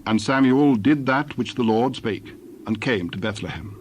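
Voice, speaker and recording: Male Bible narrator, mid-range voice, solemn and measured, faint background noise. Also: medium pitch, expressive. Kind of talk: scripted scripture narration.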